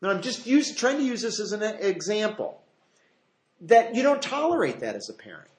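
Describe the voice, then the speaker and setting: An American man teaches scripture in the manner of a pastor leading a study. His voice sits a little high for a man. He explains in a conversational way, leaning on repeated phrases for emphasis, and the room adds a clear echo. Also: big pitch swings, normal speed. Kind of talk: expository Bible teaching, partly conversational. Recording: roomy, echoing live teaching space.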